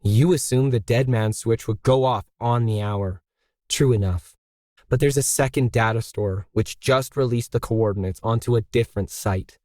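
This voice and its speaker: A man's voice: medium pitch, normal speed, a little mellow, no echo, close to an everyday voice. Fiery audio-story heroine, read by a man: defiant artist, emphatic and challenging, in a dry recording. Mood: triumphant.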